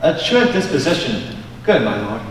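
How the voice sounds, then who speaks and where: A man's voice: mid-range voice, sing-song, moderate pace, close to an everyday voice. A young man playing an earnest, formal Elizabethan courtier, declaiming grandly in a stage play. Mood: formal, deferential. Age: young adult. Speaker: student stage actor. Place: large indoor school auditorium, on stage. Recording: echoey auditorium, distant mic, faint noise.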